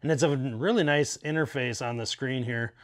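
Easygoing plumber walking through setup. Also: male voice, medium pitch, moderate pace. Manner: practical, walks through steps out loud. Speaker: plumber demonstrating an install. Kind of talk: casual how-to demonstration. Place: basement utility room, indoors.

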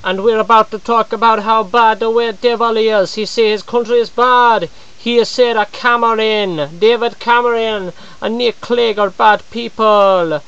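A performer voicing an indignant adult man who rants straight at the viewer, padding his complaints with "man" and "I mean" and rising into high, shouting exasperation. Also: fairly even intonation, unhurried, few pauses, clear, resonant tone, muffled recording. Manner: aggrieved rant, frequent "man" and "I mean" fillers. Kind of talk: comedic character monologue to camera.

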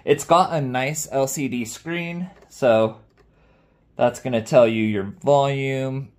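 A man's voice: mid-range voice, slow pace. A man giving casual, slangy, off-the-cuff commentary as he reviews a product. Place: small indoor room with shelves of speakers.

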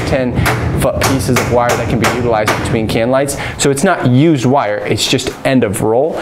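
An American man in his thirties, an electrician giving informal, matter-of-fact hands-on instruction in an unfinished basement. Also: mid-range voice. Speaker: electrician presenting a how-to tutorial. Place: unfinished basement with exposed joists. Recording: clearly noisy room ambience.

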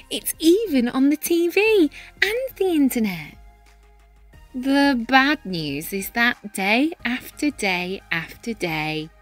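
A woman reading a children's picture book aloud in an expressive, animated manner with dramatic pauses and emphasis. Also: on the high side. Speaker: read-aloud storyteller, teacher-style reader.

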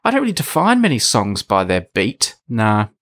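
A man's voice: lowish voice, huge pitch swings, normal speed, fairly bright tone. A man chatting casually as a podcast host. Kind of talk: casual chat.

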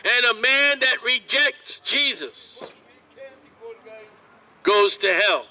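A man preaching in the open air at a street market, with slow, drawn-out delivery, heard from a camera across the street. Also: very high-pitched, lively intonation, sharp tone.

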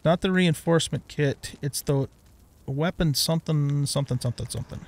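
A man's voice: fairly high pitch, brisk. A middle-aged man giving casual let's play commentary, rambling as he thinks aloud.

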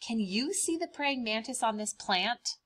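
A woman's voice: a little high, expressive, unhurried, a bit bright. A young adult woman, a preschool teacher, explaining things simply and expressively with questions for young listeners during a read-aloud.

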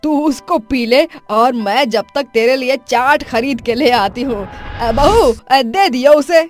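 A woman's voice: high voice, expressive, slow, faint music behind. A woman playing a dismissive middle-aged mother-in-law in an acted family story, with a colloquial, chiding way of talking. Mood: brisk, dismissive. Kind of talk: acted dialogue for an animated moral story.

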